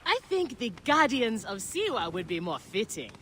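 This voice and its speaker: A woman's voice: fairly high pitch, sing-song, normal speed, fairly bright tone, a little hiss or hum. An actress playing a hearty, ambitious female gladiator in a video game drama, exuberant and theatrical. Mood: confident, hearty. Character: boisterous, ambitious woman gladiator, hearty old friend.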